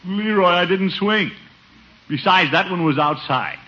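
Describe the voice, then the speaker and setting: An actor playing a pompous, blustering middle-aged uncle with a booming, chuckling manner in a 1950s radio comedy. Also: male voice, animated, measured pace, bright, forceful tone, exaggerated voice. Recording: dull, noisy 1950s radio recording.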